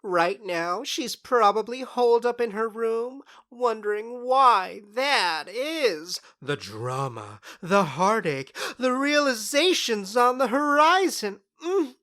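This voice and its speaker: A woman's voice: fairly high pitch, expressive, slow pace, few pauses, fairly bright tone. A woman playing a mischievous, sly fairy trickster in a modern audio drama, teasing and theatrical, savouring words with smug unrepentance. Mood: gleeful.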